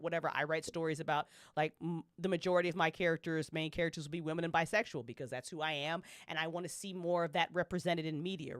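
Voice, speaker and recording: A woman's voice: sits low, no room sound, clean studio sound. A woman on a podcast panel, chatting casually and opinionatedly as a fan, with emphatic, slangy, swear-heavy delivery.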